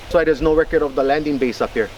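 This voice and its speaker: Middle-aged man, unhurried local storyteller, outdoor noise and passing cars behind him. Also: fairly high pitch, darkish tone. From Hawaii. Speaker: local hunter and guide. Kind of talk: casual storytelling.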